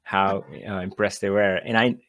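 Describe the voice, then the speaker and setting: A man's voice: sits low, fairly even intonation, moderate pace, a bit bright. A man hosting a casual interview, enthusiastic and encouraging toward his guest. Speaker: podcast host.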